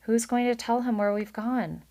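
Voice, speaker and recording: A woman's voice: fairly high pitch, moderate pace, pitched above the performer's own voice, lightly acted. Storybook mother mouse, read by a woman: short, plump, tender, anxious, tremulous. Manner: soft, tremulous, gently urgent.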